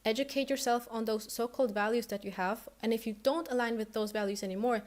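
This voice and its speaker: A woman's voice: fairly high pitch, quick pace. Blunt, indignant woman with roots. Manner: blunt, indignant, swearing often. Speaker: guest speaking on stream. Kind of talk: heated monologue addressed to someone.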